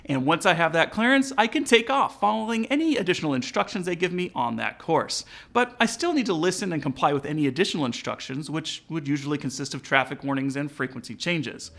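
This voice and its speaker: A man's voice: fairly high pitch, expressive, brisk, creaky, few pauses, a bit bright, a little hiss or hum. A man presenting an instructional narration as a pilot, explaining in an informal way.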